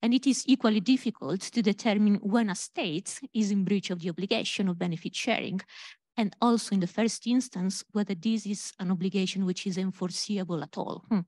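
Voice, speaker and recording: A woman with an Italian accent giving a measured conference talk as a legal scholar, humming between points. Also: medium pitch, a little mellow, no room sound. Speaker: panel speaker, legal scholar. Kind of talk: conference presentation. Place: conference stage, large hall.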